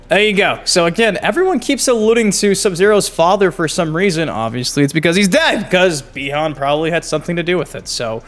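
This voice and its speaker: Excitable gaming YouTuber man. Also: on the high side. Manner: excitable, swears casually. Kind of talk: casual commentary on game clips.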